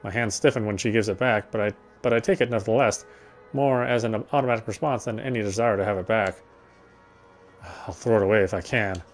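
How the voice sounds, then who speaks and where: A man's voice: fairly low pitch, brisk, soft background music. A man reading visual novel narration aloud, unpolished, with occasional stumbles and self-corrections.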